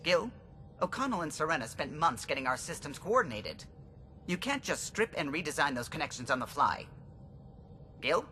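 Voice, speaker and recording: An actor voicing a fussy, high-strung alien starship pilot in a video game, clipped and precise. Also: male voice, very animated, moderate pace, bright, forceful tone, soft background music. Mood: exasperated. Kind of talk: video game cutscene acting.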